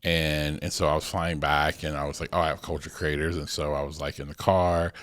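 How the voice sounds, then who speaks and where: A man's voice: very deep voice, level tone, fairly quick, fairly bright tone, dry recording, studio quality. A man in his forties co-hosting a podcast in loose, rambling casual chat full of restarts. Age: 40s. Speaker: podcast co-host.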